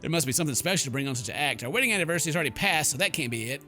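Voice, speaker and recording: Male let's player: goofy, slangy, very animated, bright forceful voice, game music behind. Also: a little high, racing. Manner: goofy, slangy, theatrical exclamations. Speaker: gaming YouTuber. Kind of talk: let's play commentary, reading game text aloud.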